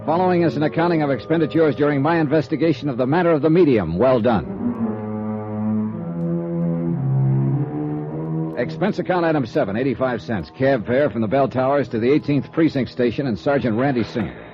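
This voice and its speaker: An actor playing a cocky, wry freelance insurance investigator in clipped 1950s radio noir style. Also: male voice, mid-range voice, fast talker, few pauses, music in the background, close to an everyday voice. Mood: brisk, businesslike. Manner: wry, clipped hard-boiled delivery. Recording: dull vintage radio recording.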